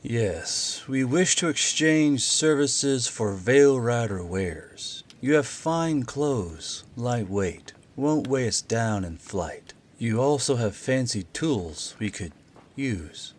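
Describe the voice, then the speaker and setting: Guy doing a sly lady harpy warrior for a storybook, soft and unhurried, kinda predatory. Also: medium pitch, animated. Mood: measured, bargaining.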